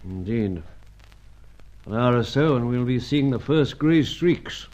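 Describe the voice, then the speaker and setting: Grave older gentleman played by a male actor. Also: medium pitch, lively intonation, unhurried, lightly acted. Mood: grave, resigned. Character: grave, avuncular older British knighted spy chief. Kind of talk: old-time radio drama acting. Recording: dull, lo-fi radio serial sound.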